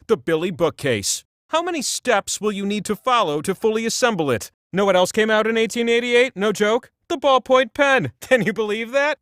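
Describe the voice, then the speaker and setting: Playful all-powerful wheel for a trivia game, highish voice, super swoopy and theatrical, wry quiz host thing. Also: male voice, fairly bright tone, no echo, studio quality. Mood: excited. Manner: theatrical, wry asides, quizzing. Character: omnipotent talking game-show wheel on a sacred mountaintop, playful and cheeky. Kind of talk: scripted quiz-show narration.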